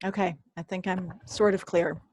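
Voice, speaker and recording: A woman presenting an online workshop, hoarse and losing her voice, often clearing her throat and apologizing. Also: fairly low pitch, level tone, moderate pace, a little mellow, no room sound. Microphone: computer mic on a video call.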